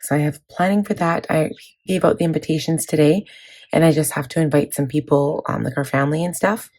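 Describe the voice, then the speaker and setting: A woman in her thirties, hoarse from laryngitis, chatting casually about her planner with many fillers. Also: sits low, fairly even intonation, fairly quick, a little mellow. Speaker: planning vlogger. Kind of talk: casual chat walking through a planner.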